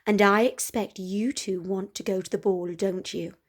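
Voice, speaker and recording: A woman's voice: medium pitch, fairly even intonation, moderate pace, close to an everyday voice. A woman voicing a prim, stern fairy godmother in a storybook.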